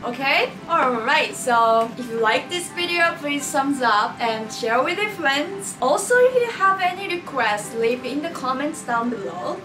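A woman's voice: high-pitched, lively intonation, far from the mic. A young woman in her twenties chatting casually and cheerfully in a cooking vlog, recorded in a small room on a camera mic. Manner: cheerful, chatty, slightly halting. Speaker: cooking vlogger. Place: small room with curtain backdrop. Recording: roomy, mic set back, music underneath.

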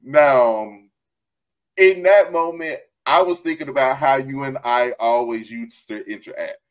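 Animated man on a headset mic. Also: medium pitch, measured pace. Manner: animated, laughs often, gestures while talking. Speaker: author and host. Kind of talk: casual video call chat. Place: home room, indoors.

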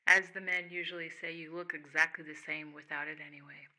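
A woman speaks in a soft ASMR monologue, addressing the listener directly. Her voice sits a little low, yet it has a very bright, crisp edge. She is reflective and leaves pauses between her phrases. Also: steady tone. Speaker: ASMR host speaking as the listener's higher self.

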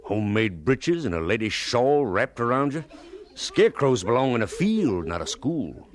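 An actor playing a bitter, sarcastic schoolmaster in a radio drama, scolding in a theatrical, sardonic manner. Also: male voice, mid-range voice, big pitch swings, moderate pace, crowd noise, natural voice. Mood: mocking. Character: bitter, sarcastic male schoolmaster, scornful and weary.

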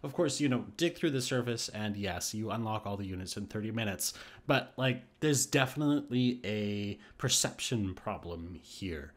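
A man's voice: fairly low pitch, lively intonation, normal speed. A man giving casual, thinking-aloud commentary on game patch notes, with restarts and hesitations. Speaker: gaming YouTuber. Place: voice-over on screen capture.

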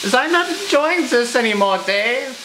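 A man's voice: very high-pitched, animated, normal speed, noisy background. Teen boy playing fretful chef Franz. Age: teens. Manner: melodramatic, whiny, fretful asides to the cameraman. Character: anxious, self-pitying male home cook Franz. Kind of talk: comic cooking show skit. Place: home kitchen, indoors. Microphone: camera mic from a handheld camera. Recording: roomy kitchen with frying sizzle. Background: food sizzling in a pan.

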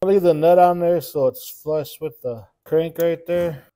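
A middle-aged man with a slightly high voice talks through an engine repair in his workshop. His shop talk is folksy and plainspoken, and it is picked up by the camera's own mic. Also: steady tone, unhurried, noisy background. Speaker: small engine repair mechanic. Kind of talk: casual hands-on repair walkthrough.

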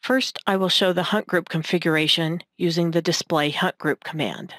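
A woman reading scripted narration for a technical demonstration in a measured, instructional manner. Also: lowish voice, steady tone, fairly bright tone, dry recording, studio quality. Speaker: software tutorial narrator.

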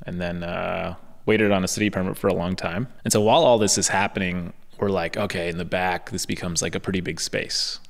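A low, gravelly male voice with an easy, chatty delivery, full of filler words as he tells the story. He talks into a boom-arm studio mic, and a long reverb trails behind him. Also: brisk, hardly any pauses. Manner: relaxed storytelling with many "like" fillers. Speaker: podcast host. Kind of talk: casual podcast conversation. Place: podcast studio in a storefront building. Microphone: boom-arm studio microphone.

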